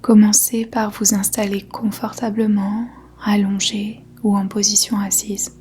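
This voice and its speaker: A woman guiding a yoga nidra meditation in a soft, breathy, whispery voice, calmly and in measured short phrases with gentle pauses. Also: medium pitch. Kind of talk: guided meditation, slow soothing instruction. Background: faint music.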